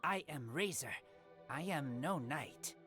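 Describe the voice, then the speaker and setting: A woman voicing a blunt, guarded teenage boy raised by wolves in a video game, speaking in halting broken sentences. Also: low-pitched, animated, a bit bright, slightly rough, faint music behind, exaggerated voice. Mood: plain, blunt.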